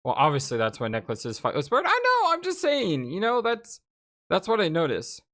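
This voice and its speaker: A woman's voice: on the low side, huge pitch swings, a little fast, a bit bright. A woman hosting a let's play, switching between reading game narration aloud and casual commentary. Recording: game music behind. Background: video game music.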